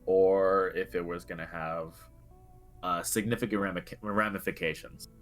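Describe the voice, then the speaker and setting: A man with a deep, low-pitched voice talks as a co-host on a gaming show. His delivery is casual and halting, thinking aloud as he builds his point, over faint music. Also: fairly even intonation, fairly bright tone. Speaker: co-host on a video game discussion show. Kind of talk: casual discussion.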